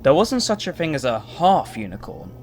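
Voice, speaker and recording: A man's voice: a little high, wildly swooping pitch, moderate pace, slight background noise. A man delivering scripted storybook narration as the narrator of an audio drama.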